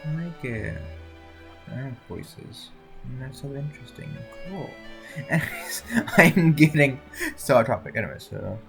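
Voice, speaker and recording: Brief: male let's-play streamer, gravelly, mumbly, off-the-cuff, faint game music. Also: mid-range voice, lively intonation, a little slow. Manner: rambling, mumbled asides. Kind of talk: casual let's-play commentary.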